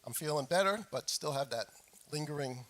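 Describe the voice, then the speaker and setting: A middle-aged man preaching a sermon as a pastor, hoarse from a cold, speaking deliberately and repeating phrases for emphasis. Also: fairly high pitch, expressive, a bit bright. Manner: frequent throat clearing. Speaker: pastor preaching to his congregation. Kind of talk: sermon with scripture reading.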